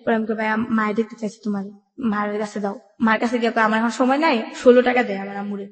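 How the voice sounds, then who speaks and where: Young bangladeshi woman telling her life story on radio, kinda high voice, talks fast, echoey. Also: level tone. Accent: Bangladeshi colloquial. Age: young adult. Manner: colloquial, run-on recounting with quoted dialogue. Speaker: radio show guest. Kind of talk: personal storytelling. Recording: echoey room with long reverb.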